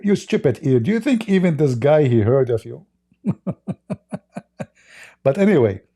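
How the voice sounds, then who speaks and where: Mellow-voiced sarcastic male commentator. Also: mid-range voice. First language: not English. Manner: sarcastic, laughs often, broken English. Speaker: livestream host. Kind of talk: mocking commentary on video clips.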